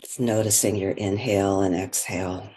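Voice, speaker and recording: A woman leading a guided meditation in gentle, unhurried phrases with pauses. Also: very deep voice, fairly even intonation, slightly rough, low-quality recording.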